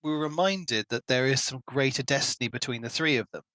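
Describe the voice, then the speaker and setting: A man's voice: mid-range voice, a little fast, bright, forceful tone, no echo. A man hosting a podcast, casually discussing a book in an analytical way and explaining his points at length. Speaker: podcast host.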